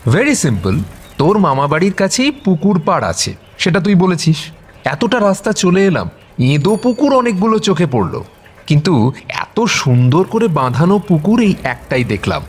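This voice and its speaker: A man's voice: high-pitched, very animated, moderate pace, slightly rough, heavily acted. An actor playing an observant, confident young college man in a radio drama, explaining and teasing. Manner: explanatory, teasing, mixes in English words.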